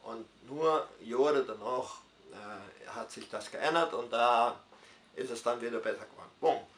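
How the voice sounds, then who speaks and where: An older man from southern Brazil with a Hunsrückisch German accent, a retired pastor telling his life story in an interview, unhurried and full of dialect forms. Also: mid-range voice, fairly bright tone, echoey room.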